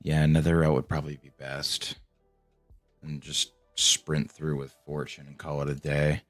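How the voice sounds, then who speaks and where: A man casually talking to himself while playing a game, low-key and mumbling, with a voice he says people call soothing. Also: little pitch movement, unhurried, a bit bright, dry recording, faint music behind. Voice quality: voice called soothing. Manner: low-key, mumbling, swears when frustrated. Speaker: streamer. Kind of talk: casual gameplay commentary.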